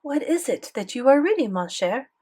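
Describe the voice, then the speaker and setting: An old French grandmother, as a woman narrator puts her on, doting but bossy, with a thick French accent. Her voice rides high and swings up in lively questions, like a character in an audiobook. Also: normal speed, slightly rough, heavily acted. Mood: surprised, curious.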